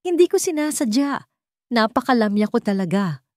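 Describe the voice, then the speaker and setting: A woman's voice: fairly high pitch, expressive, moderate pace, darkish tone, no echo, clean studio sound, voice pushed up, natural voice. A woman voicing a scheming stepmother in a story, falsely sweet and theatrical. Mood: feigned shock. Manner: theatrical, insincere, exclamatory.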